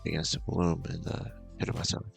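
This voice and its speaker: A man doing a casual let's-play, reading a visual novel aloud in a halting, mumbling way with asides, over game music. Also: low voice, completely flat delivery, gravelly. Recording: music playing behind the voice.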